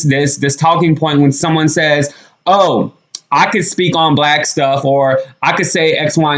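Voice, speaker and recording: A man's voice: on the high side, a bit bright. Blunt, outspoken young man vlogging. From United States. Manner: blunt, emphatic, swears freely, repeats points. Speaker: commentary vlogger. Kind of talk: opinionated reaction commentary.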